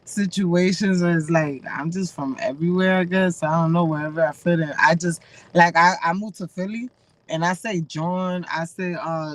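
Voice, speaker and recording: A woman's voice: fairly low pitch, dry recording. A woman speaks with an accent that blends Dominican and Massachusetts sounds. She talks loosely and chattily, with plenty of slang and fillers. Her voice comes through a remote video call. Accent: Dominican and Massachusetts mix. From Dominican family, raised in Massachusetts. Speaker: guest. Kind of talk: casual chat on a live call.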